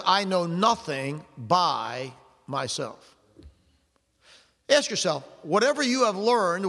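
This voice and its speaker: An older man preaches with fervor in a high, lifted voice, leaning hard on each verse and slowing to stress the scripture. His words ring out with a long echo through a large hall. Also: sharp tone. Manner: fervent preaching, slows and stresses scripture readings, asks the congregation questions. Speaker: pastor. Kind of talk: sermon. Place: church sanctuary.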